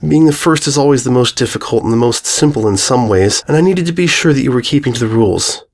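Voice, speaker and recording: A man voicing a kindly, regretful female pony schoolteacher, gentle and measured with a foreboding calm, in a storybook reading. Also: mid-range voice. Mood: sad, ominous.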